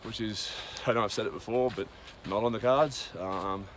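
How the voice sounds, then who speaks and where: A man's voice: fairly low pitch, moderate pace, slight background noise. A man giving a casual, rambling daily update on a hiking trail, with many ums and side tangents. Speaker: long-distance hiker. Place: outdoors on a cold trail.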